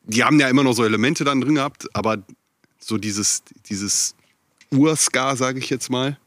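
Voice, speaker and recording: A man's voice: medium pitch, moderate pace, a bit bright, no echo. A man talking casually on a podcast in an easygoing way, often chiming in to agree with the others. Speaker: podcast participant. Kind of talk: casual chat.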